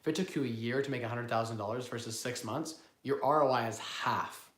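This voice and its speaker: A man with a cold, hoarse, hosting a casual live-stream Q&A on investing and rambling as he answers viewers. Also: mid-range voice, brisk, a bit bright, echoey room. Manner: rambling, self-correcting, repeats words. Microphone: phone built-in mic, no lapel.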